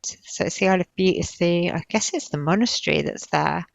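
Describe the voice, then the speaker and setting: Low-voiced woman, hesitant and drifting. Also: brisk, vocal fry, no echo. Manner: hesitant, drifting, searching for words. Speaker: client recounting past-life visions in a guided session. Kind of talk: spontaneous narration in a trance session.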